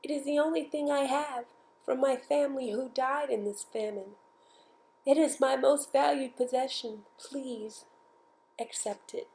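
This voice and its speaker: A woman voicing an earnest, lonely orphan girl in a soft, tender storybook manner. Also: high-pitched, steady tone, a little slow, pitched above the performer's own voice, low-quality recording. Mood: reverent pleading. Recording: music behind the voice.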